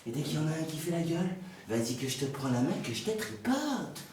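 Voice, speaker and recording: An actor playing a crude, excitable young joker with a teasing, slangy, needling manner. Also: male voice, fairly high pitch, fast. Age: young adult. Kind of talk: naturalistic dramatic dialogue. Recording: very echoey, noisy, distant mic.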